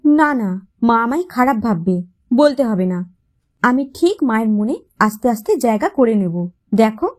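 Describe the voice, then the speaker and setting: Audio storybook role: soft, affectionate young daughter-in-law played by a female reader, high and lively, hurt but eager to please. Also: measured pace. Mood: gently hopeful.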